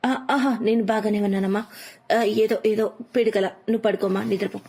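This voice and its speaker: A woman playing a protective young mother, anxious yet gentle, in a cartoon story. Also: mid-range voice, steady tone, moderate pace, slightly rough. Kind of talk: cartoon moral story acting.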